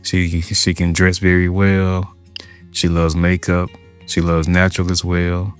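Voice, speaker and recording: A man's voice: deep voice, completely flat delivery, unhurried, clear, resonant tone. A man telling his personal story in an interview in an earnest, heartfelt way. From Georgia, USA. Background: background music.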